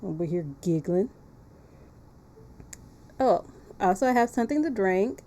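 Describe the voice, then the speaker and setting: A woman's voice: medium pitch, lively intonation, unhurried, warm tone, a little hiss or hum. A woman chatting casually and informally as she hosts a game live stream, often repeating her phrases. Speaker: streamer hosting a visual novel playthrough. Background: music behind the voice.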